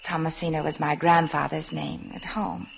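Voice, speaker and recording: Shy young woman, low and creaky. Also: little pitch movement, normal speed. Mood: coy, playful. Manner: coy, hesitant, softly reminiscing. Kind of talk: 1950s radio drama acting. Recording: noisy 1950s radio recording.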